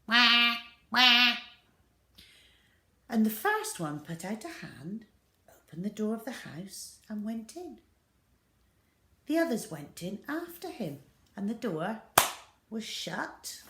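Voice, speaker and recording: Female storyteller for children: unhurried, highly varied intonation, roomy home recording. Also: sing-song. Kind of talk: expressive children's story reading.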